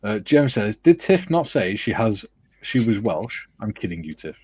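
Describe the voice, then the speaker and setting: A husky man with a low voice hosts a relaxed online chat. He reads viewer comments aloud and adds teasing, jokey remarks. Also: normal speed. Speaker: stream host reading viewer chat. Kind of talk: casual chat.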